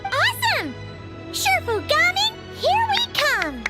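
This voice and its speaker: Tiny squeaky fairy sidekick for a game, super high and bouncy, loud music behind. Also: female voice, wildly swooping pitch, takes it slowly, heavily acted. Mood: bubbly, excited. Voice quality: squeaky, childlike. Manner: bubbly, speaks of self in third person. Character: tiny chirpy childlike female fairy companion, excitable. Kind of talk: video game voice acting.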